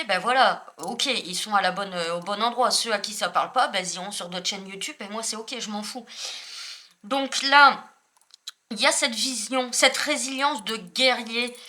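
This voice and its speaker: A French woman giving a spiritual card reading in a casual, rambling way, correcting herself often and filling pauses with "euh". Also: fairly high pitch, sharp tone. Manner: rambling, self-correcting, many fillers. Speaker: spiritual tarot reader.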